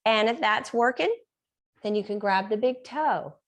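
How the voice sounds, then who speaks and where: A woman leads a yoga class with a fairly high voice, giving calm step-by-step cues. She has been losing her voice and clears her throat now and then. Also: unhurried. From Reno area, United States. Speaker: yoga teacher. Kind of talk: guided yoga class instruction.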